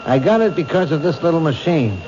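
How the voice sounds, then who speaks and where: An actor playing a commanding male police captain in a radio drama, brisk and authoritative. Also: fairly high pitch, expressive, natural voice. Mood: reflective. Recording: muffled, noisy old radio broadcast.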